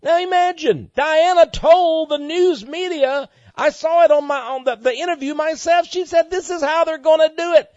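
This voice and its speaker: A man's voice: very high voice, moderate pace, few pauses, a little mellow, no room sound, muffled recording. A man speaking as a talk show host and preacher, in an impassioned, emphatic monologue that repeats phrases for dramatic effect.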